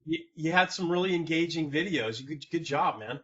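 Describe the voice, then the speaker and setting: A man's voice: fairly high pitch, fairly quick, fairly bright tone. A man hosting a podcast, chatting in a friendly, easygoing way with his guest over a video call. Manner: friendly, chatty, laughs easily. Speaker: podcast host. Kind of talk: casual interview chat. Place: home room, video call. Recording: dull lo-fi video call audio.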